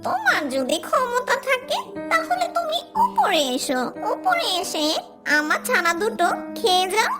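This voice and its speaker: A woman's voice: extremely high pitch, wildly swooping pitch, a little slow, pitched above the performer's own voice, full character voice. A woman voicing a small, defiant mother tailorbird in a children's fairy-tale cartoon. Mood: defiant, taunting. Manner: defiant, taunting, indignant. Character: tiny defiant mother tailorbird guarding her chicks. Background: loud children's music.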